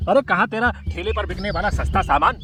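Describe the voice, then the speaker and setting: A man's voice: sits high, lively intonation, quick pace, music in the background. A man voicing a rude, haughty and spiteful male crow shopkeeper, a bullying villain in a children's cartoon story. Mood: scornful.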